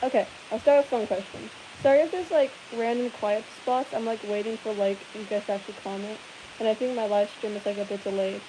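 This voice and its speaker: A woman's voice: medium pitch, steady tone, fairly quick. A young woman hosting a casual live Q&A, rambling and giggling as she chats with viewers. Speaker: livestream host answering viewer questions. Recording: hissy background noise.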